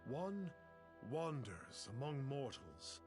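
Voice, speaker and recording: A man's voice: medium pitch, sing-song, takes it slowly, music in the background. A man playing a pompous self-styled immortal sage in a video game, speaking in lofty, cryptic, archaic phrases. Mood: grandiose, cryptic. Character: pompous self-styled adeptus mystic, grandiose male sage.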